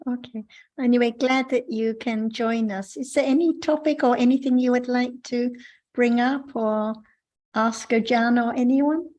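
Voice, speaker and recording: Mellow-voiced woman moderating a discussion. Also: fairly high pitch, steady tone, unhurried, lo-fi sound. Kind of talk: calm explanation and moderating.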